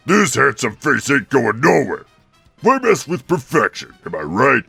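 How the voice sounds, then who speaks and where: A gruff, vain monster king for a cartoon parody. The growl is creaky and swings wildly in pitch, with music underneath. The delivery is pompous and bullying. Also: huge pitch swings, unhurried, sharp tone, no echo, full character voice. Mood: smug. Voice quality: gravelly, raspy growl. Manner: blustering, pompous. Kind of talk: cartoon fan-parody voice acting.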